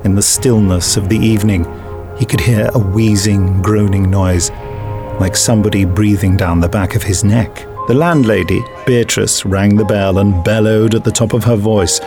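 A man's voice: fairly low pitch, fairly even intonation, normal speed, music in the background, natural voice. A man reading dramatic scripted fiction as an audiobook narrator.

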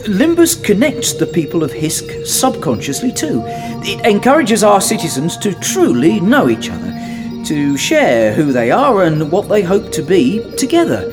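A man acting in an audio drama as an earnest, idealistic citizen, explaining in a high-minded way. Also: huge pitch swings, unhurried, full character voice. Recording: music under drama dialogue.

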